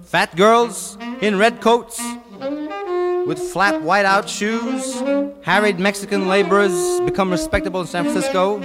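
Loud saxophone jazz surrounds a beat poet, a man reciting slowly and rhythmically. He is playful and intense, stretching out each phrase. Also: high voice, fairly even intonation, loud music behind the voice. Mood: rhythmic, observant.

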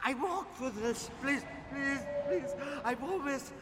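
An actor playing a panicked victim begging in a radio thriller, with broken, repetitive phrases. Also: male voice, extremely high pitch, expressive, a little slow. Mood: terrified pleading. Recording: reverberant, noisy, far from mic.

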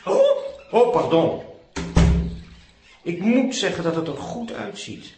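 Polite hesitant hotel manager, echoey. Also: male voice, fairly high pitch, big pitch swings, slow, a little mellow, faint music behind. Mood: awkward, polite. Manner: formal, hesitant. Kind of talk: Dutch radio comedy acting. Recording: echoey tiled room.

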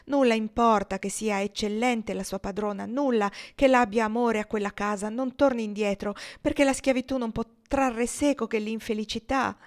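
A woman's voice: on the high side, steady tone, normal speed, few pauses, voice pushed up, close to an everyday voice. A woman voicing a desperate young husband who pleads and turns bitter, in a read-aloud story. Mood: urgent.

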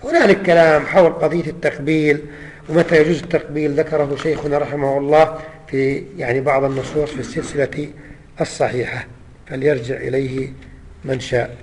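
Male scholar, high voice, lesson delivery, recites and repeats verse, long hall reverb. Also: fairly even intonation, a little fast, darkish tone, a little hiss or hum. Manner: recites verses then explains them, repeats lines. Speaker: Islamic scholar teaching. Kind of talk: religious lesson explaining poetry.

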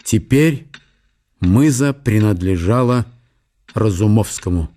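A man giving a measured, storytelling narration of a literary radio drama. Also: on the low side, animated, mellow. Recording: music under the narration. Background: music.

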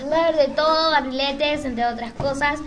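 A woman's voice: high voice, steady tone, a little fast, fairly bright tone. A woman with an Argentine accent hosting a casual live radio chat, announcing in a hesitant, informal way. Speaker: radio show host.

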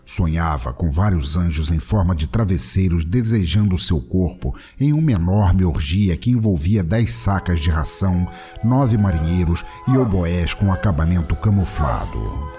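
A man's voice: low voice, lively intonation, moderate pace. A man with a Brazilian accent narrating a scripted comic story in a solemn, dramatic way. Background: music.

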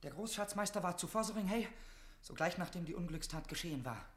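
A man's voice: high voice, animated, quick pace. A young male plotter in a classical radio drama. He is reckless and desperate, speaking in fervent, declamatory phrases with constant urgency. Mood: urgent warning.

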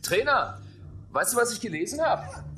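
Animated, slangy young man. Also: extremely high pitch, moderate pace, slight background noise, full character voice. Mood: cheeky. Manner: slangy, impatient. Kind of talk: German radio drama acting. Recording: roomy, music underneath.

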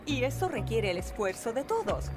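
A woman's voice: on the high side, sing-song, moderate pace, over loud music. An actress playing a patient, helpful lady who explains things in an educational comic radio drama. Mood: earnest. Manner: didactic, clear explaining. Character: patient, helpful, instructive lady correcting a foolish friend.

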